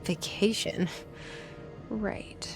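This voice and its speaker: An actress playing a guarded young heroine's inner monologue in a video game, hushed, terse and reflective. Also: female voice, very slow, music in the background.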